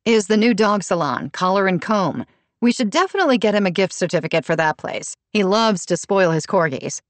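A woman's voice: medium pitch, animated, brisk, no room sound, voice pushed up, close to an everyday voice. A woman voicing a gossipy, tactless mother with a chatty manner in an audiobook. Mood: enthusiastic. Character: gossipy, tactless horse-stable-owning mom. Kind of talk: audiobook character dialogue.

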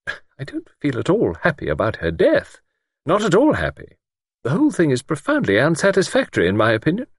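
A man's voice: a little high, huge pitch swings, fairly quick, breathy edge, no echo, studio quality, pitched above the performer's own voice, exaggerated voice. A man voicing a genteel, hesitant and anxious elderly woman in an audiobook, speaking in prim, careful, formal phrases. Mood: troubled, firm. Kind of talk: audiobook character voice.

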